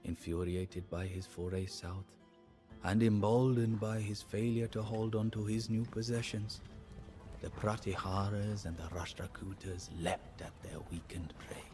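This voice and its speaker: An actor playing a sorrowful, devout adviser to an Indian emperor, narrating the story in a solemn, measured, theatrical way for a game. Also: male voice, fairly low pitch, steady tone, a little mellow, soft background music, lightly acted. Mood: stirring, resolute. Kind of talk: dramatic scripted storytelling narration.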